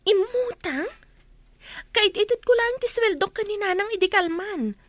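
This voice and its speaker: Very high-pitched indignant eldest daughter acted by a woman. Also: animated, moderate pace, no room sound. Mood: questioning. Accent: northern Philippine. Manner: questioning, reproachful. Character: indignant, hard-working grown-up eldest daughter. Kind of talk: comedy radio drama acting.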